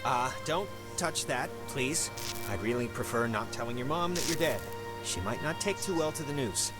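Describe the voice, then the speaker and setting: Man acting a wry American teenage guy, lively pitch. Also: moderate pace, loud music behind the voice, natural voice. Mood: wary, sarcastic. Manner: dry, wry, hesitant slangy talk. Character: teenage stepbrother, cautious, wry, sarcastic worrier. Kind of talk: naturalistic video game dialogue.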